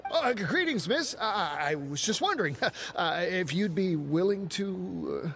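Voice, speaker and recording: A man's voice: high-pitched, expressive, unhurried, gravelly, fairly bright tone, recorded from a distance, slight background noise, heavily acted. A man voicing a bashful, nervous diner in a video game, speaking with hesitant politeness. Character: nervous, bashful male diner smitten with waitress.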